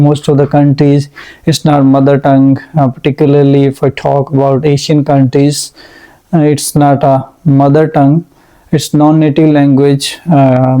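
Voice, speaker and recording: Slow gravelly man teaching. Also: medium pitch, dark tone, rough recording. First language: Urdu. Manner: slow, hesitant, many fillers. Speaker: English teacher. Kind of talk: motivational English-speaking lesson.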